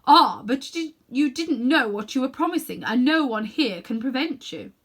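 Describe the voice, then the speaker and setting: A woman's voice: high-pitched, animated, normal speed, fairly bright tone, breathy edge, pitched above the performer's own voice, heavily acted. A woman voicing a fierce, scornful witch who coaxes and tempts insistently in a children's storybook. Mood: sly sweet tempting. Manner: imperious, insistent persuasion.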